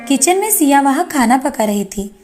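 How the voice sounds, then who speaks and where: A woman's voice: sits high, big pitch swings, normal speed, darkish tone, soft background music. A woman reading scripted narration for a children's moral story.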